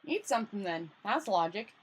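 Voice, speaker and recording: Woman as a blunt, husky little imp. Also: medium pitch, sing-song, normal speed. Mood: matter-of-fact. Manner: blunt, literal-minded, nonchalant. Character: small cheeky matter-of-fact imp living inside a picture box. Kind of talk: hobby storybook read-aloud.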